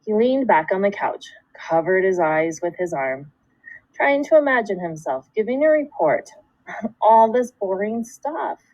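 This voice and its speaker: Female schoolteacher for a children's read-aloud: animated intonation, deliberate storytelling phrasing, dry room sound. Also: mid-range voice, no echo, rough recording. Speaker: second grade teacher.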